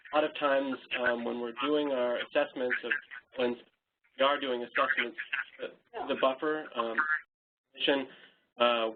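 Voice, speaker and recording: Brief: male co-presenter, monotone, hesitant, tinny phone audio. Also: mid-range voice. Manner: halting, many fillers. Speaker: webinar co-presenter. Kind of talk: unscripted Q&A answer. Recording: tinny phone-line audio, faint hiss.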